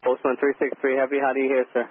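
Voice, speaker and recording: Clipped controller on tinny radio. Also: male voice, mid-range voice, completely flat delivery. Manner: rapid clipped delivery. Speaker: air traffic controller. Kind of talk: radio air traffic control. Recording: tinny radio transmission with faint hiss.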